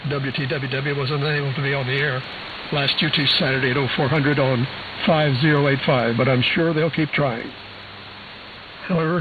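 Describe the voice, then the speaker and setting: A man presenting a scripted news bulletin in a dry, wry manner, heard over a shortwave radio transmission. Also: fairly high pitch. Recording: hissy, phone-like shortwave radio reception, heavy static.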